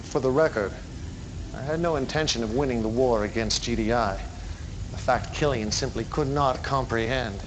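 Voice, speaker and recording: An actor playing a charismatic, menacing male cult leader in a game cutscene, speaking in a measured, commanding way. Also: medium pitch, background noise, close to an everyday voice. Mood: smug. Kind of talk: theatrical game cutscene acting.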